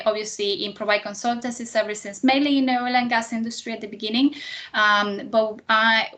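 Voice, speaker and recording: A woman's voice: medium pitch, fairly bright tone. Venezuelan-accented woman presenting. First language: Spanish. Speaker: guest speaker, chemical engineer. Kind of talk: careers presentation to students with slides.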